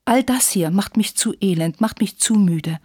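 A woman's voice: medium pitch, normal speed, warm tone, breathy edge, no echo, studio quality, lightly acted. A woman voicing a self-pitying, passionate young war widow in a literary story, plaintive and insistent in manner. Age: young adult.

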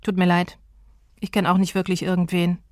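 A woman's voice: on the low side, completely flat delivery, moderate pace, dry recording, studio quality, natural voice. A woman reading a sarcastic, prickly young woman. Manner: curt, sarcastic retorts. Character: sharp-tongued young woman, defensive and sarcastic.

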